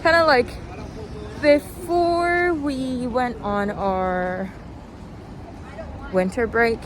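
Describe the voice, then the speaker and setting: Slow-talking young woman rambling outdoors, traffic noise. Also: fairly high pitch, fairly even intonation. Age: young adult. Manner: self-deprecating, tangential, often trailing off. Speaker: vlogger. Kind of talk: casual rambling vlog while walking. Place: outdoors on a walk in a city. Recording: noisy outdoor recording with traffic.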